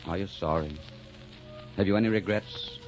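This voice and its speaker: A man's voice: sits low, normal speed, lightly acted. An actor playing a solemn, tender male lover resigned to his fate, grave and intimate, in 1940s radio drama style. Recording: dull old radio recording, soft music behind.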